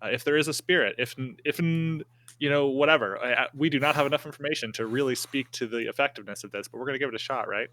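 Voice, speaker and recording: Man, tabletop game player, bright clear-edged voice, average pitch, casual improvised storytelling, halting, thinking out loud.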